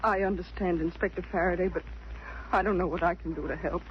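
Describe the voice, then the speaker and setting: An actress playing a grieving, bewildered widow, pleading and distressed, in 1940s radio style. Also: female voice, fairly low pitch, steady tone, quick pace, close to an everyday voice. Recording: dull, hissy old radio recording.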